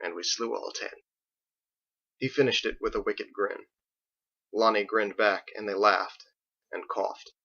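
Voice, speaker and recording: A man's voice: lowish voice, fairly even intonation, unhurried, pauses often, pushed and bright. A man reading his own fiction aloud as a scripted audiobook narration. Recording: dull lo-fi recording.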